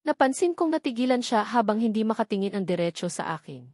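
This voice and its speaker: A woman's voice: on the high side, big pitch swings, fast, a little mellow, no echo. Female audiobook narrator for romance fiction, scripted first-person storytelling, very dry recording.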